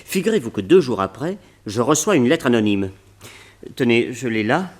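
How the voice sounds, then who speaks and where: An excitable museum owner in an old radio play, whose voice leaps up and down as he pitches his schemes. He sounds eager and full of showmanship. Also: moderate pace. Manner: enthusiastic, animated. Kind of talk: French radio drama acting.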